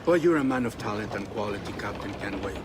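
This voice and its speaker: An actor playing a grave, measured village leader and assassin mentor, speaking formally in a video game cutscene. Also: male voice, on the low side, animated, heavy background noise, natural voice.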